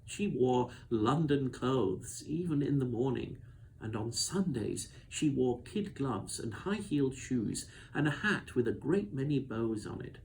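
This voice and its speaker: A man's voice: mid-range voice, expressive, a little slow, gravelly, darkish tone. Man reading a storybook, noisy recording. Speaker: storyteller reading a children's novel aloud. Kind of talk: expressive scripted storybook narration.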